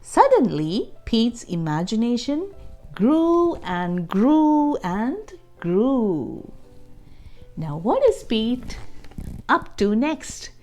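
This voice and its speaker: A woman reading a children's storybook aloud as host, expressively and deliberately, adding explanations and questions for young listeners. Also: fairly high pitch, big pitch swings, dark tone, soft background music.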